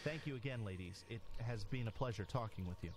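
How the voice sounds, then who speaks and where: An actor playing a calm, devout, courteous agent in an audio drama, asking questions politely and evenly. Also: male voice, on the low side, quick pace, over a noisy crowd, natural voice.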